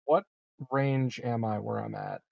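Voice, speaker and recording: A man's voice: medium pitch, measured pace, gravelly, no echo, lo-fi sound. A man playing a tabletop game, laid-back and casual, with some swearing. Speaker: player.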